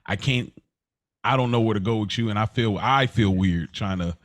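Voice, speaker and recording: A man's voice: on the low side, normal speed, no room sound. A man co-hosting a podcast, talking in an informal, slangy, rambling way as he feels out his point. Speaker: podcast co-host. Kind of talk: casual podcast conversation.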